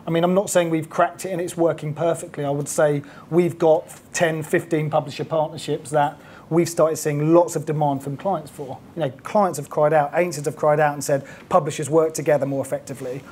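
Man with a fairly high, mellow voice talking shop on a conference stage. He is measured and businesslike, and a faint rumble sits under his words. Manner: measured, businesslike, restarts often. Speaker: panelist. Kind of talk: conference panel discussion.

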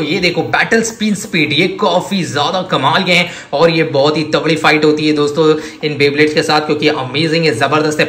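A man with an Indian accent giving a gushing, enthusiastic toy demonstration as a review host, excitable and repetitive. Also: fairly high pitch. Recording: echoey room with faint noise.

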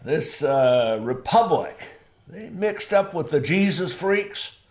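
A folksy backwoods man in an audio drama. He rambles slowly and plainly, with wary pauses and a very animated, swooping pitch. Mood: wry, curious.

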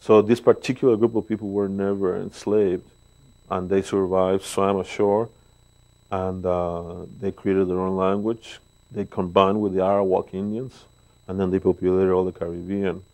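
A man in his sixties from Mexico with a Mexican Spanish accent, telling stories with pauses and fillers as an interview guest in a living room, speaking into a lapel mic. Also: low voice, level tone, highs cut off. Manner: warm, storytelling, pauses with fillers. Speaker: guest bass player. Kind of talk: relaxed interview talk. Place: living room, seated on a sofa.

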